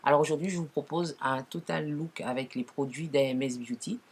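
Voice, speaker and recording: Deep-voiced woman with a cold. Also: a little fast, slight background noise. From Martinique. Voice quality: naturally deep voice. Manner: informal, frequent self-corrections. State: deeper, hoarse-sounding from a cold. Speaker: makeup tutorial host. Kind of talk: casual chat to viewers, product presentation.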